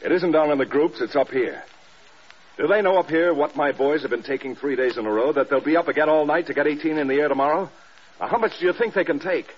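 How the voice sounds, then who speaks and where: An actor playing an exhausted, fiercely loyal American bomber group commander in a radio drama, blunt and defensive. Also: male voice, fairly high pitch, quick pace, slightly rough. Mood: bitter, heated. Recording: dull, noisy old radio recording.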